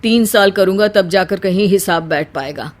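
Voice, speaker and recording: Woman voicing a gentle, sensible family-story husband. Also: mid-range voice, normal speed, voice pushed down, lightly acted. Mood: tired, resigned. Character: patient, sensible middle-class husband, adult man. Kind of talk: dramatised Hindi family storytelling.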